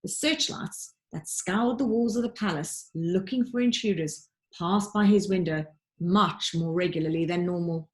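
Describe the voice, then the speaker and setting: A woman narrating a children's storybook like a teacher reading aloud, with dramatic, deliberate emphasis. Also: on the low side. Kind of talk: expressive children's audiobook narration.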